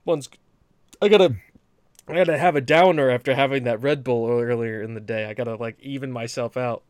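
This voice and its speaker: A man's voice: medium pitch, fairly even intonation, fairly quick, clear, resonant tone, dry recording, studio quality. Rambling, joking podcast guy. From New England. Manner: rambling, rant-prone, joking. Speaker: podcast co-host. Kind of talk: casual chat.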